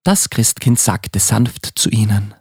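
Animated, husky male storyteller. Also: fairly low pitch, takes it slowly, dry recording, clean studio sound. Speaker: narrator. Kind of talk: scripted storytelling narration for a children's Christmas audio play.

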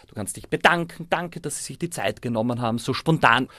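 A forty-year-old man with an Austrian accent talks casually and encouragingly. His voice sits at an ordinary male pitch. The sound is dry, with hardly any room on it. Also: fairly quick, bright, forceful tone, no room sound. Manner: informal, encouraging. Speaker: primary school teacher and musician. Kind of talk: casual explaining and coaching.

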